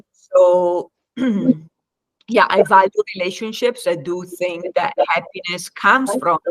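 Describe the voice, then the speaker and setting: A woman with an Italian accent talks at a slow pace as an interview guest. She is halting, often pausing mid-thought, and her voice is recorded dry and close. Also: lowish voice, a bit bright, dry recording, rough recording. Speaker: interview guest, life coach and psychologist. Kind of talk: conversational interview.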